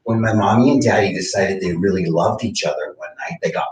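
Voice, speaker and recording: A man's voice: low voice, a little fast, lightly acted. Man acting distant, echoey, wry eccentric raconteur. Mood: droll reminiscing. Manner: theatrical, droll, long-winded storytelling. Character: wry, eccentric, hard-drinking male raconteur, thesis mentor. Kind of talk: staged reading of a play. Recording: roomy echo, distant mic.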